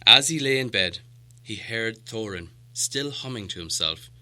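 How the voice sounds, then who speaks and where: A man's voice: lowish voice, animated, unhurried, sharp tone. A man reading a storybook aloud as scripted audiobook narration.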